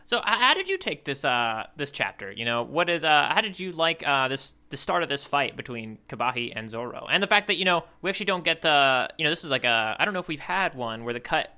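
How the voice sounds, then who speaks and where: A man's voice: fairly high pitch, sing-song, brisk, few pauses, sharp tone, clean tone. A man co-hosting a podcast, chatting casually as he shares his opinions. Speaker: podcast co-host. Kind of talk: casual chat, manga discussion.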